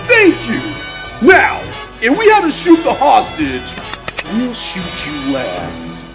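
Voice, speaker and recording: High, wildly animated robber boss played by a woman. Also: slow pace, over music, exaggerated voice. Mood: exasperated, threatening. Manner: exasperated, bossy. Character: short-tempered, threatening robber boss woman.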